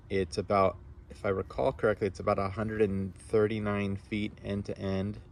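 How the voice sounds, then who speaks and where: A man talks in a low voice full of fry. He chats casually as a radio operator, wandering through technical detail. He is outdoors, with faint noise behind him. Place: outdoors on a front lawn.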